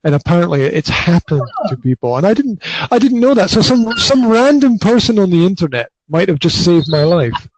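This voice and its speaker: Scottish man, high voice, halting chat. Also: very animated, slight background noise, rough recording. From north of Scotland. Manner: stammering restarts, frequent laughter. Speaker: podcast host. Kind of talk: casual chat. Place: flat indoors.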